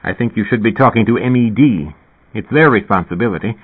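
Man as a grumbling reluctant loner, low-pitched. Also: brisk, husky. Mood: weary, protesting. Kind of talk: audiobook character dialogue. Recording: narrow, phone-like band.

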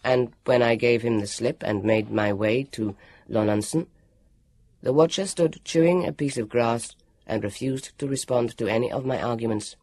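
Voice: mid-range voice, moderate pace, a little mellow, no echo, voice pushed down, natural voice. The narrator voicing a formal, solemn grown man who speaks stiffly and precisely.

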